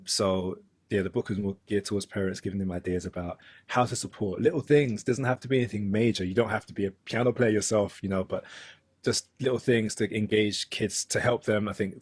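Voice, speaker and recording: A man's voice: lowish voice, breathy edge, no echo. A man in his thirties with a London accent, a musician and author talking thoughtfully as an interview guest, with frequent ums and restarts. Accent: London English. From London, England. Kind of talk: relaxed conversational interview.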